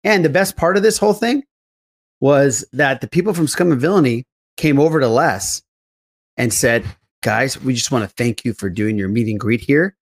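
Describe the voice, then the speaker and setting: A man's voice: medium pitch, expressive, moderate pace. Man hosting a casual podcast chat. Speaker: podcast host.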